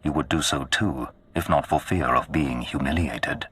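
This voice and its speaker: An actor playing a menacing, imperious Sith lord in a video game, speaking with slow, cold command. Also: male voice, rumbling low, fairly bright tone, husky, heavily acted. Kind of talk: video game cutscene acting.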